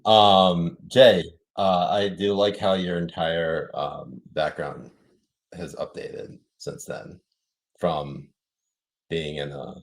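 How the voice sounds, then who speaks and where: Deep voiced guy hosting a podcast, rambly, lots of ums. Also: level tone, slow. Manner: rambling, filler-heavy storytelling. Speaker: podcast host. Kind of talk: casual podcast chat.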